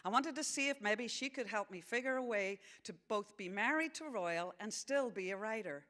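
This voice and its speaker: A woman playing an earnest sixteen-year-old farm girl who narrates a novel in an attempted American accent, with measured delivery. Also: on the high side, animated, fairly bright tone, pitched above the performer's own voice. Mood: earnest, observant. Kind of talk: dramatised novel reading.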